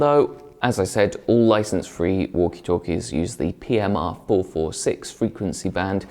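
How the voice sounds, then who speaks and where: A man's voice: lowish voice, a little mellow. A man presenting a product review in a conversational, explanatory way, partly scripted.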